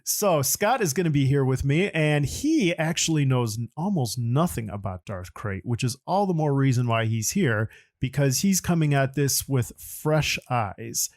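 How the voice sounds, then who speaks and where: Male podcast host, middling pitch. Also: animated, measured pace, few pauses, a little mellow. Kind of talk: podcast introduction, casual chat.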